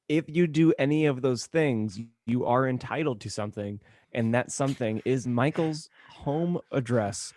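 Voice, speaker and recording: A man with a medium-pitched voice co-hosts a comedy podcast. He chats casually and jokingly, recorded close with almost no room sound. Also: a little mellow, no room sound. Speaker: podcast co-host.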